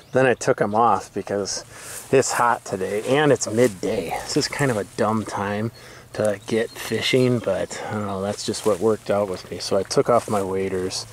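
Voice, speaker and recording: A man's voice: fairly low pitch, a little hiss or hum. A middle-aged man with an Upper Midwest American accent, chatting casually to an action camera while fishing from a kayak on a pond. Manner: chatty, talks to fish and deer, excitable. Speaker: fishing vlogger. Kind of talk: casual outdoor commentary. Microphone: action camera mounted on kayak.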